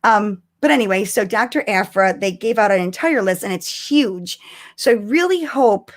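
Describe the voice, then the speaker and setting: A woman co-hosting a casual live-streamed chat about fandom news, talking in an animated, chatty way with exclamations. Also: mid-range voice, moderate pace. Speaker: podcast co-host.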